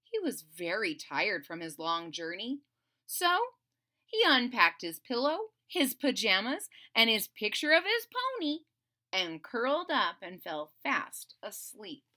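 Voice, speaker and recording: Slow, very animated woman reading a storybook. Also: mid-range voice. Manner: theatrical, playful, explains simply to young listeners. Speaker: storybook reader for children. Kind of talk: expressive children's read-aloud with simple asides.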